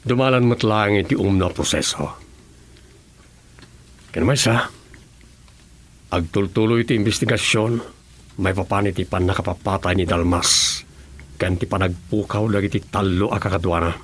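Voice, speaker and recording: Deep-voiced, principled governor. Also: male voice, moderate pace, pauses often, slightly rough. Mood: resolute. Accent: Ilocano. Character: principled, firm male provincial governor. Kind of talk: Ilocano radio drama acting.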